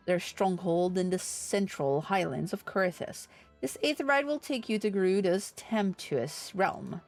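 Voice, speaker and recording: A woman's voice: medium pitch, lightly acted. A woman reading aloud the lines of a formal, courteous, grave envoy in a fantasy video game.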